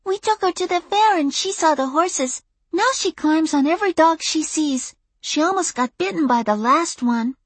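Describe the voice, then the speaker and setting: Bossy, high-voiced six-year-old girl. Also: expressive, normal speed, dry recording. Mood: matter-of-fact. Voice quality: high, childlike. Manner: matter-of-fact, tattling.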